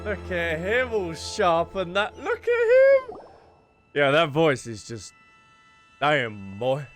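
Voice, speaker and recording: Animated, teasing male gaming streamer. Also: on the high side, slow, faint music behind. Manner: teasing, jokey, repeats phrases. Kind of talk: casual game playthrough commentary.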